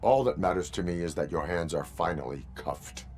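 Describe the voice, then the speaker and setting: A man's voice: sits low, normal speed, darkish tone, slight background noise, close to an everyday voice. A man voicing a stoic, righteous cyborg police officer in a video game, speaking in terse, formal commands. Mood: stern.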